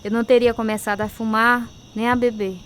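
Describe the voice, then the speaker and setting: A woman's voice: a little high, flat delivery, brisk, a little hiss or hum, natural voice. An actress with a Brazilian accent playing a devout, earnest young convert telling her story in a radio drama. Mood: heartfelt. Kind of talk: radio drama narration and dialogue.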